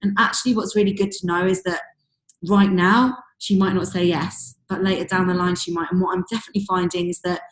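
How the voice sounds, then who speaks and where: A woman with a husky, fading voice, coaching her sales team on a call in a chatty way full of fillers. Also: on the low side, steady tone, brisk. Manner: chatty, many fillers like "um" and "you know". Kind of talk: casual motivational coaching talk. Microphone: video call. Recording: echoey room over a video call.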